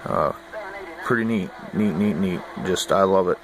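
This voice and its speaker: A man's voice: sits low, a little slow, a crowd in the background. An American man chatting casually to camera in a laid-back, folksy, hesitant way, like a rambling hobbyist. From United States. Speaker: hobbyist vlogger. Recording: noisy amateur camera audio.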